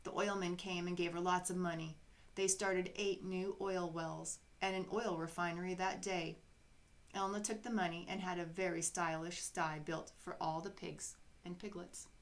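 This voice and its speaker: A woman's voice: fairly low pitch, normal speed. Woman reading a children's storybook aloud. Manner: expressive, acts out characters' dialogue.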